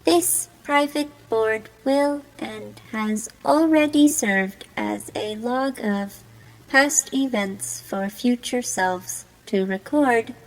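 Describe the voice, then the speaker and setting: A woman playing a bored, fatalistic young troll girl in an amateur monologue, speaking formally and deliberately. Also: a little high, voice pushed down, rough recording. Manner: formal, deliberate, resigned wording.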